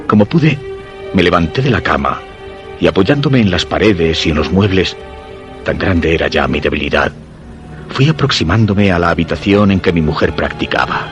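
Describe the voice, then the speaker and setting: Deep-voiced, ailing, fearful husband. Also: male voice. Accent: Castilian Spanish. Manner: measured, literary, solemn storytelling. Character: weak, ailing, fearful husband narrating his suspense tale. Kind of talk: radio drama narration and dialogue. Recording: voice over background music.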